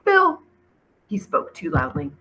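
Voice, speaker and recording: A woman, a teacher reading a storybook aloud with expressive narration. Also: fairly low pitch, unhurried, slightly rough.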